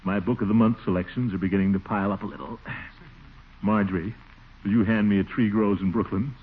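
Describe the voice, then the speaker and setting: An actor playing a pompous, easily huffy middle-aged uncle in a blustery manner, in 1940s radio comedy style. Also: male voice, lowish voice, steady tone, fast talker, husky. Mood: self-satisfied. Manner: blustery, pompous, chuckling and sighing. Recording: old tinny 1940s radio broadcast, hissy.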